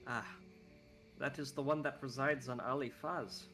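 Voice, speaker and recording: A man's voice: medium pitch, fairly even intonation, moderate pace, sharp tone, faint music behind. A man voicing a courteous, scholarly male desert guide in a put-on Icelandic accent, speaking formally and explaining at length.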